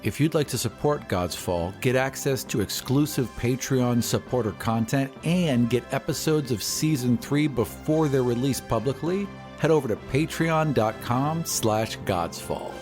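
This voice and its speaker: A man's voice: mid-range voice, measured pace, few pauses, a little mellow. Male fantasy game master over music. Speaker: game master and podcast host. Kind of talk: scripted narration of a fantasy tabletop game. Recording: music under the voice.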